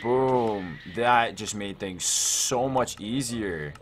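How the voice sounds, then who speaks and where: A man hosting a casual gaming let's play, thinking aloud in an excitable, repetitive way. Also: fairly low pitch, extremely slow, noisy background. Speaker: gaming video host. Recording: music behind the voice.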